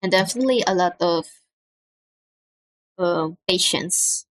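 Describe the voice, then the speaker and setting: A young woman from the Philippines chats casually on her livestream, speaking softly with frequent pauses and fillers. Her cheap mic gives a dry, close sound in a room at home. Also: fairly low pitch, no echo. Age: young adult. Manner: soft-spoken, hesitant, many fillers. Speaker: streamer. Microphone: cheap desk mic.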